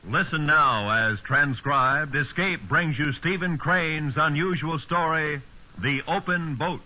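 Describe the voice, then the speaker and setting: A formal radio announcer speaks slowly with a piercing, forceful tone. The delivery is emphatic 1950s program style. The recording is dull and old. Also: male voice, on the high side, full character voice. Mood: dramatic.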